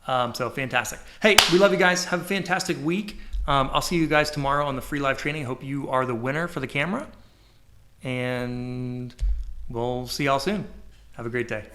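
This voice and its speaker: A man's voice: mid-range voice, moderate pace, a bit bright, a little hiss or hum. A man co-hosting a live podcast, chatting casually and upbeat while promoting. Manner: upbeat, promotional, says y'all. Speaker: podcast co-host. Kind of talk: casual live-stream chat.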